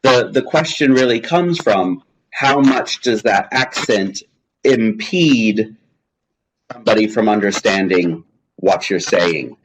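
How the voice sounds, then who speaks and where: Chatty man, low voice, many restarts. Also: takes it slowly, a little hiss or hum, poor audio. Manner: restarts often, chatty and jokey. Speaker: guest speaker on language testing. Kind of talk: webinar answering questions.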